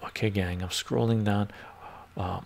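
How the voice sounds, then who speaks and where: An older man hosts a live stream from his book-lined home study on a lapel mic. His gravelly voice sits on the low side, and he talks emphatically, repeats himself and keeps asking "right?", sometimes sinking to a soft whisper. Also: steady tone, unhurried. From born in Iran, raised in western Canada. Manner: emphatic, repetitive, asks "right?" often, some soft whispering. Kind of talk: casual live stream talk reading chat.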